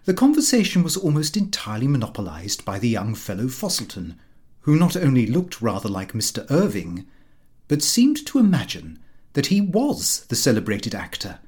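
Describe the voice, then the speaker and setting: A man narrating a comic diary in the character of a prim, put-upon, pompous middle-class gentleman. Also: medium pitch, huge pitch swings, normal speed. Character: fussy, easily affronted middle-class Victorian gentleman diarist. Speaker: audiobook reader.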